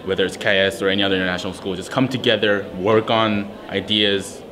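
A teenage student organizer in a casual interview, talking haltingly with frequent ums and restarts. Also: male voice, lowish voice, fairly even intonation, a crowd in the background. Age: teens. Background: music.

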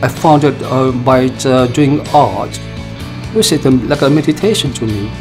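Soft-spoken Malaysian-born man, halting. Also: medium pitch. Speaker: project member. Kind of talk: documentary interview. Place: indoor art room. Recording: music bed underneath.

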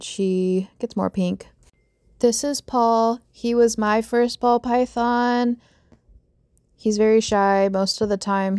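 A young woman chatting casually as she shows off pet snakes outdoors on a backyard deck, speaking in an unhurried, affectionate way into a handheld phone camera. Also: mid-range voice, warm tone, clear, resonant tone, no echo, studio quality. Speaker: vlogger showing pet snakes. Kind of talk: casual show-and-tell narration.

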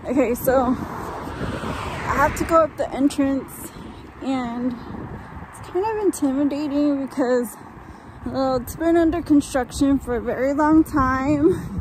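A woman with a high voice talks slowly and haltingly, as in a casual walking vlog. She often lets her phrases trail off. Loud outdoor noise runs behind her phone recording. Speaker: vlogger. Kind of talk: casual walking-tour chat. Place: outdoors walking along roadside and hilly park. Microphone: handheld phone at arm's length.

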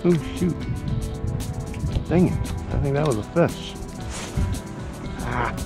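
A man's voice: medium pitch, slow pace, over music. A middle-aged man, narrating a casual fishing vlog outdoors into an action camera, talking informally to viewers and himself. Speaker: fishing vlogger. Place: outdoors by and on a pond. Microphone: action camera, handheld or worn.

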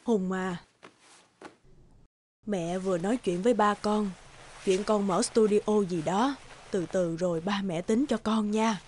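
A woman voicing a gentle older mother in a cartoon, soft and reassuring in her manner. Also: medium pitch, steady tone, measured pace, pauses often, a little hiss or hum, natural voice. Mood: soothing.

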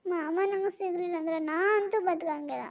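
Drunk lovesick daughter, a woman acting, north karnataka kannada accent, super high whiny voice, stubborn and pleading. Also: brisk. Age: young adult. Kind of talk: family drama skit.

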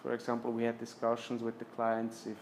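A man in his fifties presenting slides in a lecture room, speaking with many restarts and fillers, trailing off softly at the ends of phrases. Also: mid-range voice, monotone, fairly quick, slight background noise. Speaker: architecture lecturer. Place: lecture room with projector screen.

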